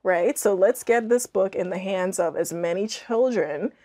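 Young female podcast guest from the Bahamas, mid-pitched, earnest and reflective, conversational. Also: a little slow, mellow. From Andros, Bahamas. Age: young adult. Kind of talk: conversational interview. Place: small studio, seated indoors.

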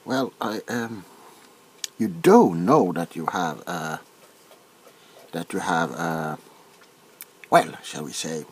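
Man playing a husky, halting, nervous doctor. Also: deep voice, expressive, voice pushed down, full character voice. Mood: hesitant, puzzled. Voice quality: soft-spoken, husky. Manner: hesitant, stammering, repeats himself. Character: nervous, awkwardly polite, bewildered male doctor. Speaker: ASMR performer. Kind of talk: soft-spoken comedic ASMR doctor roleplay.

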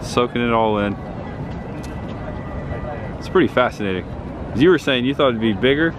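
A man in his thirties narrating a casual walking tour to his camera, chatty and easily excited as he points things out. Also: mid-range voice, moderate pace. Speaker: vlogger. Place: busy city park and streets outdoors. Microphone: handheld camera at arm's length. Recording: loud crowd and street noise outdoors. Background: crowd chatter and traffic.

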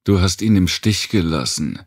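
A man as a harsh, gravelly accusing inner voice. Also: low voice, expressive, normal speed, no room sound, studio quality, pitched above the performer's own voice. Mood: bitter accusation. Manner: harsh, reproachful. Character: accusing inner voice heard by a grief-stricken survivor.

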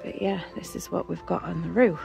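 A young woman who hosts an exploring vlog. She talks in a relaxed, chatty way, with fillers, and also reads narration. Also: lowish voice, brisk, vocal fry, faint music behind. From United Kingdom. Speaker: urban exploration vlogger. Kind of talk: casual vlog chat and scripted narration.

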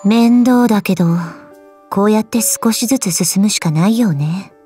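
Woman doing a cool gorgon monster lady for a mobile game, breathy n mellow, deadpan, curt but secretly caring. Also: mid-range voice, moderate pace, faint music behind, close to an everyday voice. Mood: dry, resigned. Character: cool, aloof female gorgon monster swordswoman, quietly caring. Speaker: voice actress. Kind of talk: scripted Japanese mobile game character lines.

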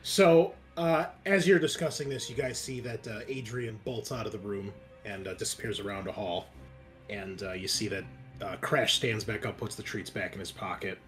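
A man's voice: fairly low pitch, moderate pace, fairly bright tone. A man running a tabletop game as game master, narrating casually. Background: music.

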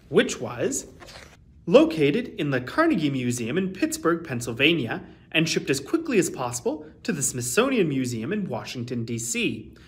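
Male storytime host, thirties, reads to children with expressive, very varied intonation. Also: a little high. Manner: expressive, carefully enunciated storytelling. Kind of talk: reading a children's picture book aloud. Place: small indoor room with shelves.

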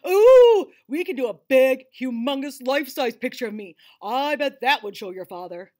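A woman plays a big, jolly imaginary creature from a children's storybook. He is excitable and silly, booming his ideas with wide swoops of pitch. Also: normal speed. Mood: excited, booming. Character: big jolly pink imaginary creature friend, male, exuberant.

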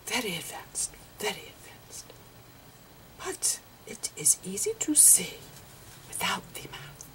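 An eccentric old physicist played by a woman gives a gentle ASMR lecture. The delivery is slow and halting, repeating phrases patiently, with pitch that swoops expressively. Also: sing-song, recorded from a distance, low-quality recording. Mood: patient, musing. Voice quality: breathy whisper. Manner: halting, repetitive, patiently explaining. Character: elderly male eccentric physicist channelling Einstein, gentle lecturer. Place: indoors at a slate chalkboard.

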